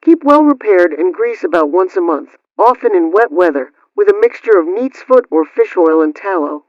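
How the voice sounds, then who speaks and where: A woman's voice: on the low side, animated, normal speed, no room sound, highs cut off. A woman playing a prim 1920s radio homemaking host, reading household advice in a formal, instructional way over a band-limited old-radio sound. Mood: formal, instructive.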